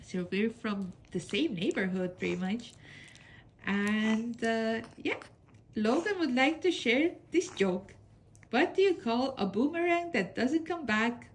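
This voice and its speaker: A young woman reads letters aloud in an unhurried, gentle way, her pitch rising and falling a lot as she coos at her dogs. Her voice sits a little high, with a faint hiss of room noise behind it, recorded close on a phone at home. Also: animated. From Estonia. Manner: gentle, cooing baby-talk to her dogs, frequent soft laughs. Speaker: pet channel host. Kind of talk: casual vlog narration reading mail aloud. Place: home room with bed, off camera.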